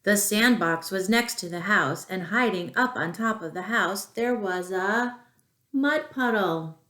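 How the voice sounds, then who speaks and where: A woman reading a storybook aloud to young children, expressive and deliberate, with asides to the listeners. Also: mid-range voice. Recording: echoey room.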